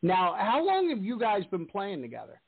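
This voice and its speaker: A man's voice: high voice, huge pitch swings, normal speed, gravelly, husky. Interview host, male, rambling questions, tinny phone-line quality. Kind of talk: casual interview.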